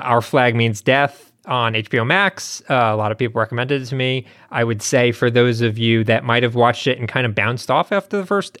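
Male podcast co-host, friendly casual chat, mid-pitched voice, very dry recording. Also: a bit bright, no room sound.

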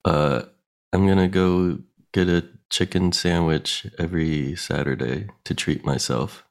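A man's voice: low voice, steady tone, a little slow, vocal fry. A man co-hosting a comedy game podcast, talking in a sleepy, laid-back way. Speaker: co-host. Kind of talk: casual podcast banter. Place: home studio with guitar.

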